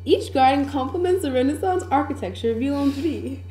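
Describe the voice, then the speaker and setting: A woman's voice: high-pitched, expressive, brisk, dark tone, faint music behind. A woman playing an informative tour guide at a French chateau in a scripted puppet show. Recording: echoey room.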